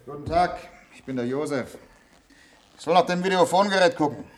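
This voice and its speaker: A man's voice: a little high, fairly quick, slight background noise, natural voice. An actor playing a chummy, nosy handyman in a German science-fiction radio drama, talking in a blunt, colloquial way. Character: chummy, nosy institute handyman, matter-of-fact working man.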